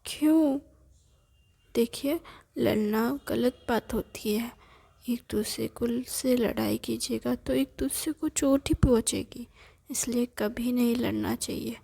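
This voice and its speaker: A woman voicing a small boy who whines and pleads loudly. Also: on the high side, slow, vocal fry, breathy edge, heavily acted. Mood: pleading. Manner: pleading, raised and whiny.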